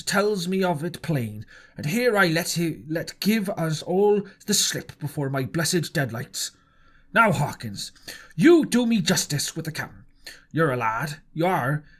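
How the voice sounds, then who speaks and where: A man's voice: a little high, fairly bright tone, heavily acted. A man voicing a jovial one-legged old sea cook, boisterous and wheedling, full of sailor slang.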